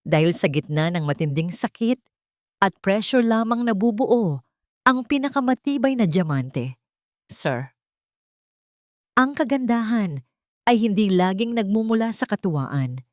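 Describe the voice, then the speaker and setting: A woman's voice: medium pitch, animated, unhurried, long pauses, darkish tone, no echo, studio quality, voice pushed up, lightly acted. A woman voicing a humble, determined young woman, respectful and sincere, in an audio drama. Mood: earnest.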